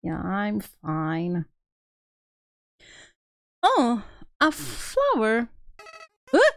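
A woman's voice: mid-range voice, wildly swooping pitch, drawn-out words, no echo, studio quality. A woman hosting a casual live game stream, playful and exclamatory, chatting with viewers and reading text aloud. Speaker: streamer, video game host. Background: faint video game music.

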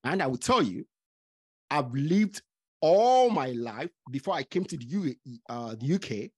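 Slow, husky-voiced man from Nigeria. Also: a little high, big pitch swings, a little mellow. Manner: slow, deliberate, stuttering restarts. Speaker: podcast host. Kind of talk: casual interview chat. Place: home study with bookshelves. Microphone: studio mic with headphones.

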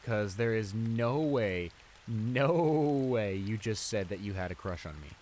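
A man's voice: fairly low pitch, measured pace. A man playing a shy, flustered school bully in an audio roleplay, stammering and hesitant as he apologizes and gets embarrassed. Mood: disbelieving. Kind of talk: intimate improvised audio roleplay acting. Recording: rain noise behind the voice. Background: steady rain.

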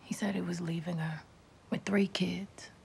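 A gravelly, hushed wife. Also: female voice, lowish voice, fairly even intonation, measured pace, a little hiss or hum. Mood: confiding. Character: wife gossiping over dinner at home. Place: dim home dining room.

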